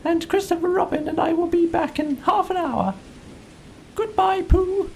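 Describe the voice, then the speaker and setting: A tiny young piglet from a storybook, speaks in a very high squeak. The little creature is timid and prone to stammer, yet earnest and plucky. Some ambient noise sits behind the voice. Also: male voice, measured pace, creaky, heavily acted, low-quality recording. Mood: excited, brave. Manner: nervous, stammering.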